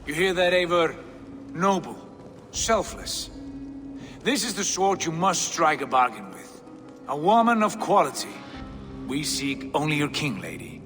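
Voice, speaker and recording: A grandiose Viking lord with a slightly high voice and a deliberate, theatrical menace. His sardonic lines come over faint music, in dramatic game style. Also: expressive. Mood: coolly menacing. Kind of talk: dramatic video game acting.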